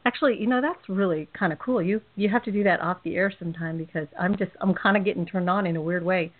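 A woman's voice: fairly low pitch, quick pace. A woman with a California accent hosting a casual radio show, chatty and rambling, laughing often. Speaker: host. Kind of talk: casual podcast chat. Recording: tinny phone-line audio.

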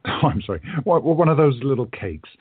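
A man's voice: mid-range voice, very animated, fairly quick, breathy edge, no room sound. A man playing a polite, wry time traveller in his late thirties who runs a bookstore, in a radio play. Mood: courteous, earnest. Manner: polite, wry, precise phrasing. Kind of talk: audio drama acting. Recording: muffled, narrow phone-like audio.

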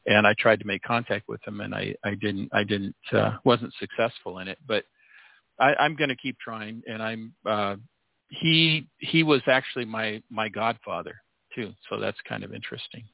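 A man's voice: on the low side, moderate pace, gravelly, no room sound. A man in his sixties, a guest talking casually in an interview, rambling with many restarts and fillers. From United States. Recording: tinny phone line.

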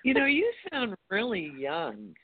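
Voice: medium pitch, huge pitch swings, unhurried, slight background noise. A caller on a phone-in show, talking in a rambling way with many fillers and restarts. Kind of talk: phone-in conversation. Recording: tinny phone line.

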